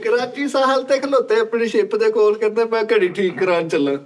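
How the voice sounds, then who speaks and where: A man's voice: high voice, brisk. A man from Pakistan hosting a casual chat, chiming in with jokes and agreeing hums. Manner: chimes in with quips and agreeing hums. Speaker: host. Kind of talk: casual chat, joking interjections. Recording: crowd and noise behind.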